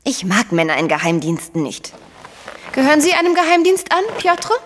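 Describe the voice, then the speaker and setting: A woman's voice: sits high, big pitch swings, moderate pace, long reverb tail, slight background noise. An actress playing an investigator's frank, sceptical female partner in a crime radio drama. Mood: frank, inquisitive.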